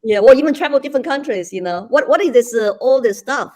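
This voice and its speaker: A woman's voice: high voice, expressive, quick pace, darkish tone. A woman from China with a Chinese accent and non-native English grammar, hosting a conversational interview. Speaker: interview host.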